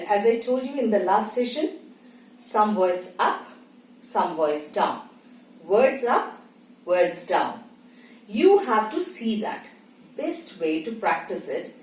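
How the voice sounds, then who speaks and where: Indian-accented female tutor, instructive and emphatic, animated intonation, roomy distant recording. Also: mid-range voice. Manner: emphatic, instructive, asks and answers own questions. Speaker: voice and speech teacher. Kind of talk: spoken tutorial with acted examples. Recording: echoey room, distant microphone.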